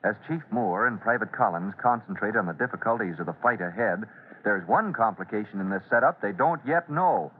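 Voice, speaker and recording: A man's voice: fairly low pitch, animated, a little fast. A man narrating a 1940s-style radio serial as its announcer, dramatic and declamatory. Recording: tinny, noisy old radio broadcast.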